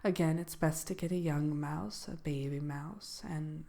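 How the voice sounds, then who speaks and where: A woman playing a composed dark sorceress who gives a soft-spoken ASMR seminar in a hesitant, measured, genteel manner. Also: sits low, fairly even intonation, creaky. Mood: composed, matter-of-fact. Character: composed dark sorceress lecturing on magical animal companions. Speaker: seminar presenter.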